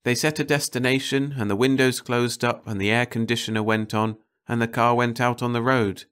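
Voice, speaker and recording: A man's voice: mid-range voice, fairly even intonation, brisk, fairly bright tone, smooth, voice pushed up, natural voice. A man voicing an uneasy car-shop worker in an audiobook, nervously reassuring himself. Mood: explaining. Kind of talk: audiobook character voice.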